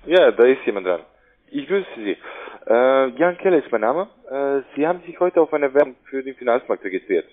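A male cold caller with a mid-pitched voice speaks haltingly, doubling back on words. He is heard over a narrow, tinny phone line. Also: very animated. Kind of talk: unscripted phone sales call.

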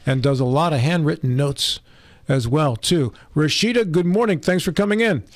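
A man hosting a local radio talk show, asking questions with many fillers and restarts, recorded on a studio boom mic. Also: moderate pace, no echo. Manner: hesitant, filler-heavy questions.

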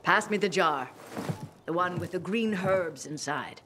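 Woman acting as older female herbalist, calm, stern, measured, instructive, video game, faint background noise. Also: lowish voice, close to an everyday voice.

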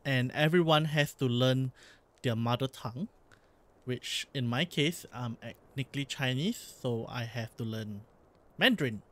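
A man from Singapore with a Singaporean English accent, a vlog host chatting casually and explaining in quick bursts that often stop and restart. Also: mid-range voice, fairly bright tone. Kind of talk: casual chat while streaming.

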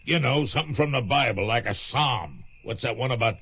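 A man's voice: mid-range voice, lively intonation, brisk, bright, forceful tone, slightly rough, heavily acted. An actor playing a menacing, swaggering gunman in a radio western, with threatening, folksy frontier talk. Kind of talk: radio western drama acting.

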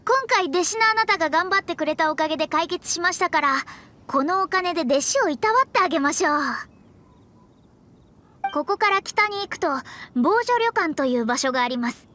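Haughty girl mage, very high bright voice, anime game, prim, self-important. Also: lively intonation, fairly quick, slight background noise, heavily acted. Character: proud, prim young female astrologer mage, haughty teacher.

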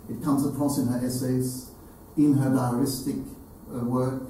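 An older man giving a formal tribute to an audience, speaking deliberately and haltingly, repeating phrases for emphasis. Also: medium pitch, flat delivery, mellow. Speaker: event speaker. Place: hall with seated audience, indoors. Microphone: distant camera. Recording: very echoey room, distant mic, slight noise.

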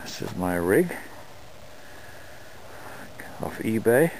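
Low-voiced man chatting outdoors. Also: slight background noise, low-quality recording. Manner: relaxed, unhurried talk with fillers. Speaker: dog owner filming a scooter run. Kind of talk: casual vlog narration. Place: open desert outdoors.

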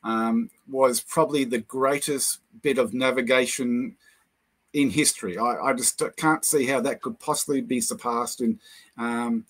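An older man with a New Zealand accent, a guest lecturer telling anecdotes over a video call, with many pauses and fillers. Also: mid-range voice, steady tone, a bit bright. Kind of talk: casual chat, storytelling.

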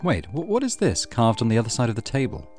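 A man's voice: mid-range voice, huge pitch swings, fast, darkish tone, soft background music. A man hosting a let's play, reading story narration aloud with casual commentary.

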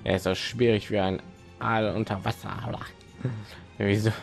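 A man chats casually over his gameplay, rambling and thinking aloud. His voice sits a little low, and there is some phlegm in his throat. Faint game music plays behind. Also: flat delivery, normal speed, creaky. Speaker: let's play host. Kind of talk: casual gaming commentary.

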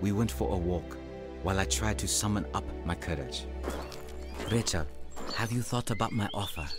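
A man's voice: low voice, normal speed, music in the background, natural voice. An actor playing a humble, self-doubting young African evangelist, narrating his own story in a radio drama. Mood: hesitant, earnest. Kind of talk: dramatised first-person narration.

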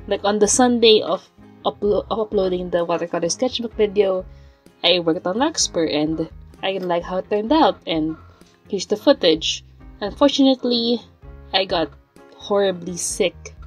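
Raspy-voiced young woman, chatty art vlogger. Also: mid-range voice, a little mellow, rough recording. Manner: rambling, chatty, with fillers and sighs. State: raspy from a lingering cold. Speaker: art channel host. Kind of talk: casual chat over drawing footage.

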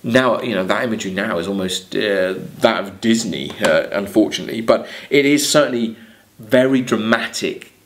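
A man's voice: lowish voice, normal speed, a little hiss or hum. A man in his thirties with an English accent, lecturing on literature, reading verse aloud and explaining it in hesitant, exploratory phrases. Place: small plain room, seated at a desk.

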